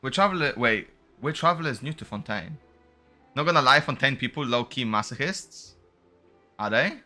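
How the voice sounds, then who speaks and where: A man's voice: mid-range voice, moderate pace, soft background music. A man doing casual, slangy gameplay commentary, reading dialogue aloud and reacting with animation as he streams. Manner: slangy, animated reactions, stumbles over foreign names. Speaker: game streamer playing and reacting.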